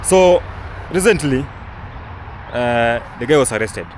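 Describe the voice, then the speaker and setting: A man's voice: mid-range voice, lively intonation, takes it slowly, a little mellow. A young man, a travel vlogger, giving casual commentary outdoors, rambling with many fillers and restarts. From Kenya. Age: young adult. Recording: outdoor recording with traffic noise.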